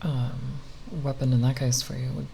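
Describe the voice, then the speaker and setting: A speaker giving an unscripted ASMR ramble in a halting, nerdy, hesitant manner with long pauses. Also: mid-range voice, flat delivery, gravelly, warm tone, low-quality recording. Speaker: ASMR content creator. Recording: faint background noise.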